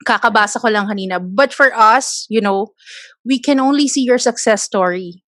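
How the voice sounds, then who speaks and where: Filipino woman host, bright and close. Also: a little high, moderate pace, no room sound. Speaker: podcast host. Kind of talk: interview, mixing Tagalog and English.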